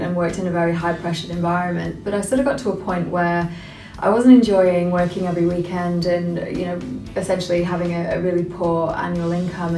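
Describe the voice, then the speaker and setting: A woman's voice: on the low side, a little mellow. A woman who works as a recruiter, telling a personal story in a conversational way in an interview. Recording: roomy, distant, faint music under.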